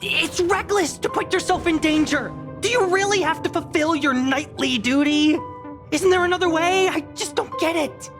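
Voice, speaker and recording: A woman's voice: very high-pitched, normal speed, over music. A woman voicing a feisty young female pilot in a video game, flustered and stammering as she hides that she cares. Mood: worried, defiant.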